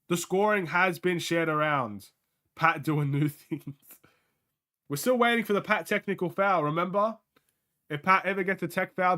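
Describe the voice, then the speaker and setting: A young Australian man with a light accent talks through a live basketball watchalong, reacting excitably and chatting with viewers. His voice sits a bit high for a man, with a bright, forceful edge. He laughs easily and keeps the commentary loose and casual. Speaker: streamer hosting a game watchalong.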